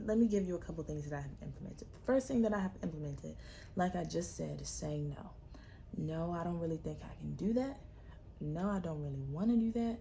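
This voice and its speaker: A young woman hosting a solo podcast, talking in a sassy, emphatic way with a loud, projecting voice. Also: deep voice, vocal fry, a little hiss or hum. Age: young adult. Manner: animated, sassy, emphatic repetition. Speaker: podcast host. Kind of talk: casual solo podcast talk.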